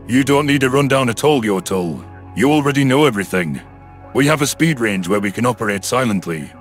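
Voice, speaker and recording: A man voicing a calm, stern submarine commander with a measured, authoritative manner in an audio story. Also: medium pitch, faint music behind, natural voice. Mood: instructive. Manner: measured, authoritative, proud explaining.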